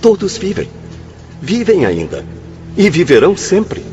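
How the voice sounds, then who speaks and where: A man's voice: high-pitched, wildly swooping pitch, measured pace, a little mellow, lo-fi sound, faint music behind, full character voice. Swooping, declamatory male spirit instructor. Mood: emphatic. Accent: Brazilian. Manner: emphatic, declamatory. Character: earnest male spirit instructor lecturing his friends gravely. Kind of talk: radio drama acting.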